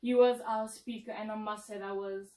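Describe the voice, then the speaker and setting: A woman's voice: mid-range voice, steady tone, moderate pace. Late-teen woman, South African accent, playful; set-back mic in echoey room. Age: late teens. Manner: warm, playful, emphatic. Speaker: co-presenter being interviewed. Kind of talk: casual interview chat. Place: indoor lounge set, seated on couch. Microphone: far camera mic. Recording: roomy echo, distant mic.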